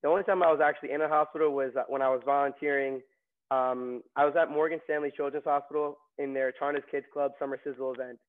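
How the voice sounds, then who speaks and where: Young man, from New York, flat delivery, tinny phone-line sound, chatty with fillers. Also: medium pitch, quick pace. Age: young adult. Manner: chatty, many fillers and restarts. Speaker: nursing graduate guest. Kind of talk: casual interview storytelling.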